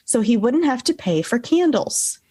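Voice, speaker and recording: Woman, higher-pitched voice, podcast co-host, storytelling from notes, casual delivery. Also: big pitch swings, moderate pace, dark tone, husky, dry recording, studio quality.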